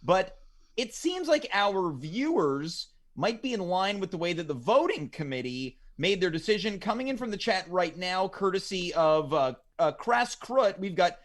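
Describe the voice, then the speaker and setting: Guy with a high voice doing a fake-serious awards host bit. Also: sing-song, normal speed. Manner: mock-formal, announcer-like. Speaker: host of a mock awards show. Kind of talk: comedic awards presenting.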